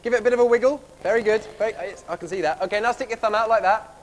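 A man's voice: high voice, racing, slight background noise, natural voice. A young man playing a chirpy, eager servant in pantomime, bantering with the audience from a theatre stage. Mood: cheery, coaxing. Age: young adult. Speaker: pantomime comic leading audience singalong. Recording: reverberant theatre hall recording with music.

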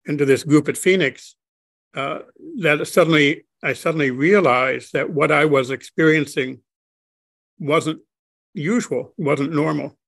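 Older man with a gravelly voice on a video call. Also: on the high side, a bit bright, no echo. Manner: slow, halting, many restarts and fillers. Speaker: interview guest. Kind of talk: reflective conversation. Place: home study, indoors.

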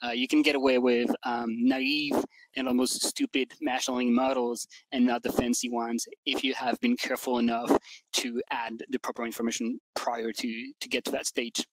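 Male expert panelist, mid-pitched, conversational and loosely phrased, dry headset sound. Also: flat delivery, normal speed, no echo, rough recording. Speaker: panelist, AI research executive. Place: dim indoor room, video call. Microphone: headset boom mic.

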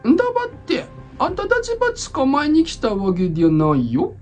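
A high, lilting old auntie with a thick Tsugaru accent, as a woman puts her on, rambling along like a village storyteller. Her pitch swoops all over, and her folksy chatter hides a sly undercover cyber cop in this comedic voice drama. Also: huge pitch swings, brisk, a little mellow, soft background music. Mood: wistful. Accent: Tsugaru dialect.

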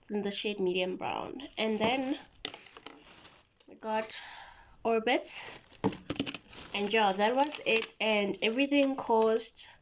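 Vlogger, female, twenties, South African accent. Slightly high pitch, informal chatty delivery, muffled phone recording. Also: takes it slowly, long pauses, a little hiss or hum. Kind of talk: casual chat to camera. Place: mostly a bedroom at home. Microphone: phone camera at arm's length. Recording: dull lo-fi phone audio.

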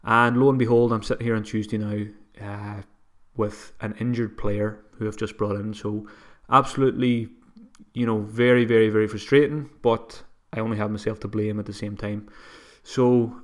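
Flat-voiced man with a cold. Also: on the low side, moderate pace. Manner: conversational, self-deprecating, frequent restarts. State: sniffly with a cold. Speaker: podcast host. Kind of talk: solo fantasy football podcast.

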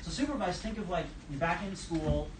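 A man's voice: high voice, lively intonation, fairly quick. A middle-aged man with a New York accent giving a blunt, joking talk to a conference audience, recorded in a room with a projector. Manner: blunt, joking, self-deprecating, gestures broadly. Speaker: speaker at a conference session. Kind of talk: casual live lecture. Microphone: camera at the back of the room, no mic. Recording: roomy, distant, noisy room recording.

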